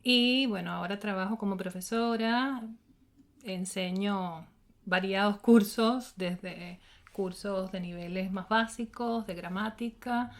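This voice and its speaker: A Cuban woman in her forties answers interview questions in a middle pitch. She speaks as a professor would, thoughtful and unhurried, with many small fillers, and she laughs easily. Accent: Cuban Spanish. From Cuba. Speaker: linguistics professor and researcher.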